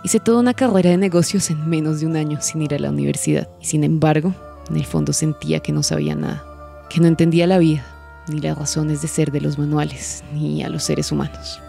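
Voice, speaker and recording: A woman's voice: deep voice, lively intonation, fairly quick, creaky, mellow, faint music behind, exaggerated voice. A woman playing a cold, calculating, vengeful businesswoman confessing in an audio drama. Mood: cold confession. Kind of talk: scripted dramatic monologue.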